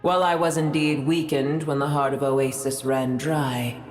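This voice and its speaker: A woman's voice: sits low, little pitch movement, moderate pace, a little mellow, lightly acted. A woman voicing an ancient, proud and bitter female dragon in a video game, speaking in a haughty, grandiose, archaic manner. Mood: haughty disdain. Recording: echoing, with game music behind.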